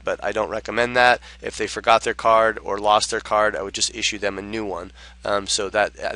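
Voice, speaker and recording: A man narrating an unscripted software tutorial in a halting, explanatory way, speaking close to the mic as a trainer. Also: lowish voice.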